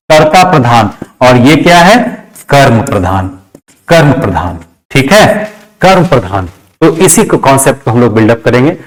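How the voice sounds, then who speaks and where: A man's voice: fairly high pitch, rough recording. A man teaching in a classroom lecture style, repeating key phrases and asking rhetorical questions in a didactic way. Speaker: English grammar teacher.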